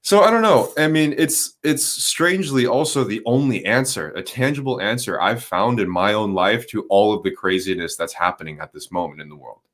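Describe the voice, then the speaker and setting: Low-voiced man rambling through casual chat. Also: fairly quick, few pauses, fairly bright tone. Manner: loose, rambling and searching for words. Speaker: podcast guest.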